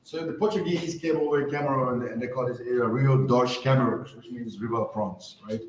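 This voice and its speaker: A man's voice: mid-range voice, fairly quick, slight background noise. A man from France giving a conversational talk to a live audience, often asking the crowd questions. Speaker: conference speaker. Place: event hall. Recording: roomy hall with faint ambience.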